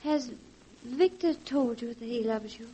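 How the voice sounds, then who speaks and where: A woman's voice: fairly high pitch, unhurried, dark tone, breathy edge. A woman playing a sensible, protective young woman in a 1940s radio drama, composed and earnest. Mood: concerned, sisterly. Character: sensible, protective, grave young woman, elder-sister type. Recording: noisy vintage radio recording.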